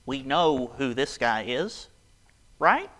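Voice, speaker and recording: A man preaching a casual, conversational sermon, his voice raspy and hoarse from a cold. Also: medium pitch, big pitch swings, slow pace, bright, forceful tone.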